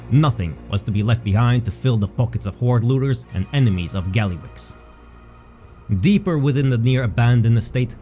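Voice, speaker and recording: Gentle music sits behind a man narrating a fantasy tale. His voice is mid-pitched, and he reads the script in a measured, dramatic storyteller's manner.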